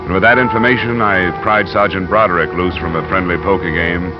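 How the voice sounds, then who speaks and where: An actor playing a steady, probing, dryly wry insurance investigator in 1950s radio drama style, with clipped hardboiled questioning and narration. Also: male voice, deep voice, a little fast, natural voice. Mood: grave narration. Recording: muffled vintage radio recording, music underneath.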